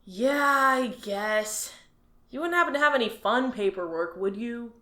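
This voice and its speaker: A woman's voice: on the high side, expressive, measured pace, bright, forceful tone. A woman voicing a bored young male alien office clerk who whines and mocks his useless job, in an audio drama. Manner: sarcastic, whiny, restless.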